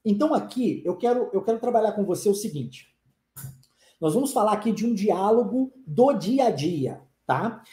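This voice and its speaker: A man in his forties with a soft, mellow voice teaches a live online class. He talks emphatically, pushing his argument and repeating rhetorical questions to the viewers. The room around him rings with a noticeable echo. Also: high-pitched, animated, normal speed. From born in Brazil, raised in the United States. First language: English. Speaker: English teacher.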